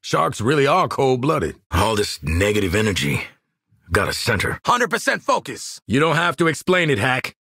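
An actor playing a cocky, irritable mercenary sharpshooter in a video game, with husky, slangy, sardonic delivery. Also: male voice, medium pitch, wildly swooping pitch, moderate pace, sharp tone, no room sound, studio quality, full character voice. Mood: self-calming. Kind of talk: video game character voice lines.

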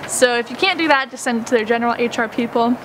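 A woman's voice: fairly high pitch, fast. A young woman in her twenties from the United States, giving casual advice in a chatty way full of "like" and "um", recorded outdoors in a garden on a camera mic. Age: 20s. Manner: chatty, filler-heavy with frequent "like" and "um". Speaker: travel vlogger. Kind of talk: casual instructional chat. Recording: outdoor ambience with passing traffic.

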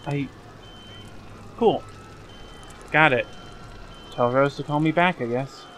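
A man voicing an awkward, downcast young man in a fan dub, talking in a halting, casual, evasive way. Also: fairly high pitch, fairly bright tone, slight background noise.

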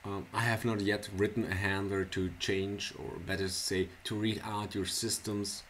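A man from Switzerland whose first language is Swiss German, talking through a computer tutorial in a very low, deep voice. He speaks slowly and haltingly, stopping for fillers and restarting words. A soft hiss and keyboard clicks sit behind him from his desk mic. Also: flat delivery. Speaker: software tutorial presenter. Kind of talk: casual screencast tutorial. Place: small indoor room at a computer.

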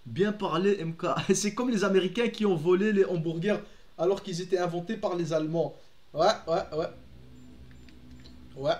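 Young belgian man, native dutch speaker, high voice, echoey room, casual streamer chatter. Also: moderate pace, pauses often, a little mellow. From Belgium, Antwerp. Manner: chatty, answers chat, frequent fillers and restarts. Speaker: gaming streamer. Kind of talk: casual live-stream chat while playing. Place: room at home, indoors.

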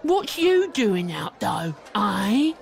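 A woman's voice: mid-range voice, very animated, extremely slow, vocal fry, exaggerated voice. An actress playing a nosy, teasing village woman with a British accent and colloquial, mocking talk in a comic audio drama. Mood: cheeky, mocking.

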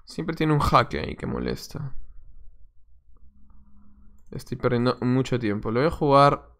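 A man's voice: mid-range voice, very animated, a little slow, vocal fry, fairly bright tone. A young man, a chess coach, commenting on his own game as he plays it live, muttering moves and chatting to viewers from a small room at a desk webcam. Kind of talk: live-stream commentary while playing.

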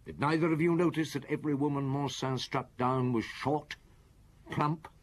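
An actor playing a weary, stern, methodical police chief inspector in a radio drama, with a husky voice and measured, authoritative delivery. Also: male voice, fairly high pitch, steady tone, natural voice. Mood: grave, accusing.

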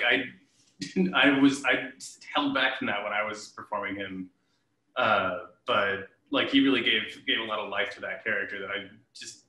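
Bright, lowish-voiced man in an echoey room. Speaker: director and performer, panel guest. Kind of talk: casual panel chat. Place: indoors at home, room with bookshelf, video call. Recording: echoey room, shared mic.

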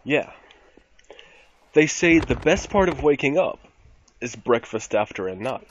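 A man giving a mock-dramatic spoken reading of rap lyrics, theatrical, with deliberate pauses between short phrases. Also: medium pitch, expressive. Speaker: performer of dramatic readings in an online game. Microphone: computer mic over game voice chat. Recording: muffled, noisy game voice chat.

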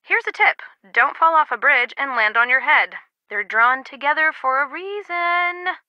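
Young woman, game character, high pitch, very crisp bright tone, brisk, wry, close dry sound. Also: expressive, no echo, lo-fi sound. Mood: thoughtful. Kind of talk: video game voice acting.

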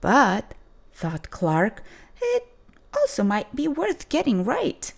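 Female storybook reader: dramatic and deliberate, wide swings in pitch, very slow pace. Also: huge pitch swings, vocal fry. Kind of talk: expressive children's read-aloud narration.

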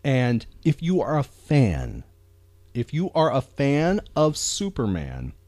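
A man hosting a casual talk show, speaking earnestly and haltingly, often repeating words as he goes. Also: medium pitch, wildly swooping pitch, mellow, no room sound. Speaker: podcast host.

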